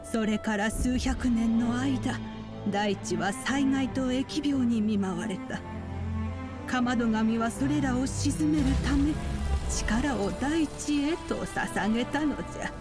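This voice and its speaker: A woman's voice: on the high side, takes it slowly, over music. A woman playing a wise, kindly elderly sage, telling legends in archaic, solemn speech for a Japanese video game. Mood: solemn storytelling.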